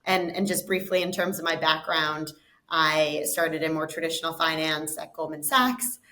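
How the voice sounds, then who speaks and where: A woman's voice: sits low, sharp tone. American woman explaining her work. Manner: measured, explanatory, with frequent repeated words. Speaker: guest, fund co-founder. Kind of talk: interview answers.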